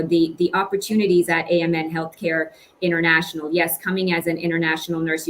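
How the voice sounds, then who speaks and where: Low-pitched, encouraging woman hosting online. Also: low-quality recording. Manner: encouraging, effusive with praise. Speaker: webinar host and recruitment director. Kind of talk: hosting an online question-and-answer session. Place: small home office indoors. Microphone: computer microphone on video call. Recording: faint background noise on video call.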